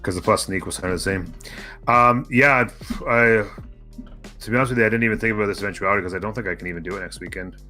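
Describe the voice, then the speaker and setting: A man's voice: lowish voice, fast, sharp tone, soft background music. A man chatting casually with his co-host as he co-casts a live game stream. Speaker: co-caster of a livestreamed game.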